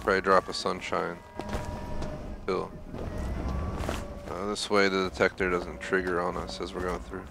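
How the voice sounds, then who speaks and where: Male game streamer, deep voice, casual explanatory play-by-play over loud music. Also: monotone, moderate pace, gravelly, long pauses. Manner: thinking aloud, explanatory. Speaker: gaming streamer. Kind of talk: casual gameplay commentary.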